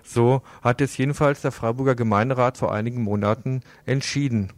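A man presents scripted radio news at an average male pitch. The sound is dry and close, as in a broadcast studio. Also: steady tone, a little fast, dry recording.

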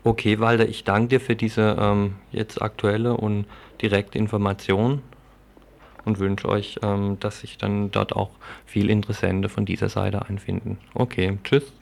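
A male radio interviewer with a gravelly edge to his voice puts long questions in a live news interview. His phrasing is measured and hesitant, and his intonation stays quite flat. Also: lowish voice.

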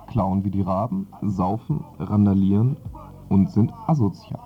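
A man's voice: low-pitched, a little slow, highs cut off, noisy background. A man reading scripted satire as a radio presenter. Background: music.

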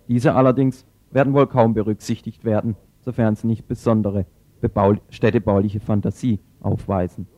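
Dark-toned male reporter, dull lo-fi recording. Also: medium pitch. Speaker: radio reporter. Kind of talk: scripted political radio report.

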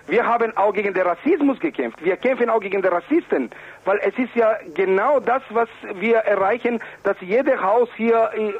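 A man's voice: high-pitched, husky. A man from the former Yugoslavia speaking non-native German as an activist in an insistent, pleading political interview. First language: not German. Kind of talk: impassioned political radio interview. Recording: dull, narrow phone-like radio sound.

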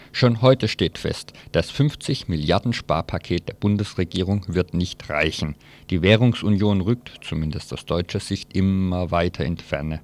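Man, low voice, radio presenter, informal press review, reading aloud, colloquial wry asides. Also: darkish tone.

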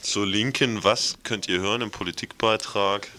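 A man with a bright, forceful voice that sits fairly low. He hosts live radio casually, as informal studio moderation. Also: fairly even intonation, moderate pace. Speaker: radio host.

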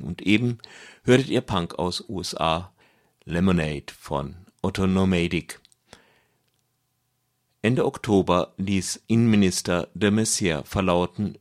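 A man presenting a radio magazine, reading scripted narration. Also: low voice.

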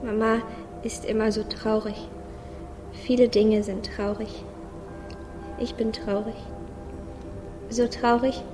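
A woman's voice: on the high side, natural voice. A woman playing a naive, sad little boy musing simply in a radio play. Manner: simple childlike musing, repeating phrases. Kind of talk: radio play inner monologue. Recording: long reverb, music clearly behind.

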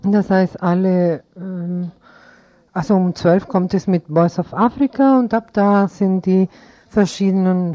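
A woman presents informal announcements in a soft, dark tone. She goes slowly, haltingly putting sentences together as she speaks. The radio recording is dry and a bit dull. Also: medium pitch, dry recording. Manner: halting, improvised phrasing.

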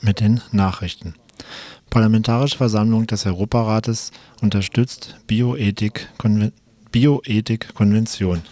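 A man's voice: lowish voice, steady tone, unhurried, dark tone, dry recording. A man announcing short radio station idents and news headlines. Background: music.

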